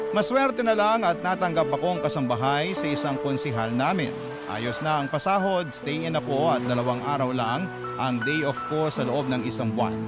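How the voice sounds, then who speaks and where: A man's voice: on the high side, natural voice. A man playing an earnest letter sender who narrates a life story in a Tagalog radio drama, reflective and confiding.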